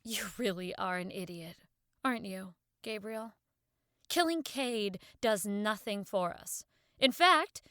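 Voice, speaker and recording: A woman's voice: a little high, fairly bright tone, dry recording, studio quality, heavily acted. A woman playing a tough, no-nonsense gang member in an audio drama, blunt, exasperated and scolding.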